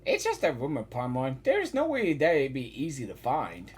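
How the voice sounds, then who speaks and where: A woman's voice: rumbling low, huge pitch swings, moderate pace, a little mellow. A woman giving casual, informal live reaction commentary while playing a video game. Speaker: gaming reaction streamer.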